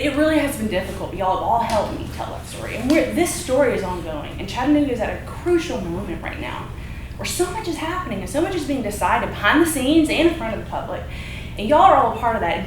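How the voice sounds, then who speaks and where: A woman, a newspaper editor, introducing a speaker to a room in an earnest, chatty way. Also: medium pitch. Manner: earnest, laughs often, many fillers. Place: event room with audience. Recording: very echoey room, audible noise.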